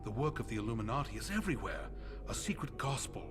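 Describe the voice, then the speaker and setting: Game role, male actor as eccentric preacher and hobbyist conspiracy nut; mid-range voice, grandly pulpit-like yet hesitant, clear music bed underneath. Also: medium pitch, sing-song, lightly acted. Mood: eager, probing. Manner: sermonizing, florid phrasing with halting hesitations. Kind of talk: video game cutscene monologue. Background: background music.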